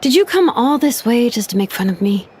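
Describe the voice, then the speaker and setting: A wry, animated young engineer. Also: female voice, a little high, fairly quick, breathy edge. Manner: wry, musing aloud, animated.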